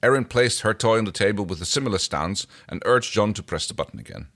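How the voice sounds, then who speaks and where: A man with a fairly low voice reads fan fiction as a podcast co-host. His pitch moves in a lively way, and he slips easily into casual talk. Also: fast.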